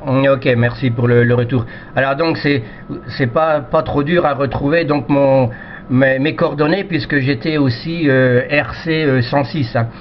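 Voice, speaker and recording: Male radio hobbyist, mid-pitched, polite and hesitant, casual rambling chat, muffled lo-fi sound with slight noise. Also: steady tone, unhurried. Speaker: amateur CB radio operator. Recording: dull lo-fi radio audio with noise.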